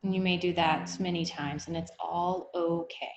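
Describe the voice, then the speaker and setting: A woman guiding a meditation, speaking in an unhurried, gently instructive way with long pauses. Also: lowish voice, steady tone, dull audio. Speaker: meditation guide. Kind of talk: guided meditation, body scan. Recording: echoey room.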